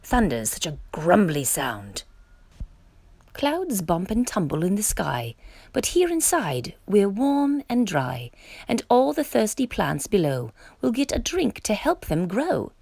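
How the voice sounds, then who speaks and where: A woman reading a children's picture book aloud with expressive, theatrical delivery. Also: medium pitch, measured pace, creaky, dry recording. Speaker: storytime reader.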